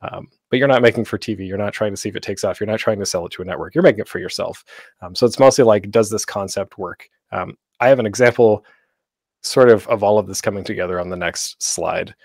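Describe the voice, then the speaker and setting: A man's voice: fairly low pitch, quick pace, darkish tone, no echo, studio quality. A man giving an informal, conversational instructional talk as a presenter, with a self-deprecating manner.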